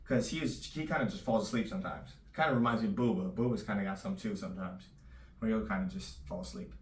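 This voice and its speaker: A man chatting casually in a loose, rambling vlog, his voice a little raspy. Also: lowish voice, fast. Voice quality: slightly raspy. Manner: loose and rambling, full of fillers. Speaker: vlogger. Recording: echoey room, distant mic.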